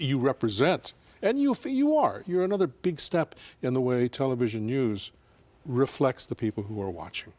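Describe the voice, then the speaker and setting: A man hosting a television interview show, talking casually with frequent fillers and restarts. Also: medium pitch. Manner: relaxed, halting, many fillers and restarts. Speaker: TV show host.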